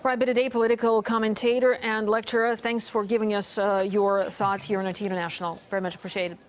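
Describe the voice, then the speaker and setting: A woman's voice: medium pitch, level tone, quick pace, a little hiss or hum. A woman presenting TV news and interviewing a guest, with many hesitant fillers. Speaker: news anchor.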